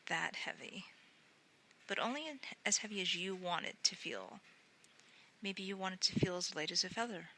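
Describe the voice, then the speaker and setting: A woman guiding a sleep meditation in a gentle, soothing, unhurried way, often softening into breathy near-whispers. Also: lowish voice, a little hiss or hum, rough recording. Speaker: meditation guide.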